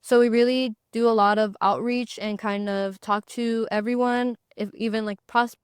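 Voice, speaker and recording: Smooth-voiced young woman co-host. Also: fairly high pitch, fairly even intonation, moderate pace, dry recording, studio quality. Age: young adult. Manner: friendly, easygoing, light laughs. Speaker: podcast co-host, student ambassador. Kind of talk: casual chat.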